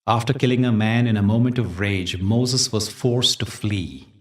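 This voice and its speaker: A man hosting a guided meditation, speaking and telling stories in a gentle, deliberate, soothing way with dramatic pauses. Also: on the low side, far from the mic. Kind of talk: guided meditation talk with storytelling.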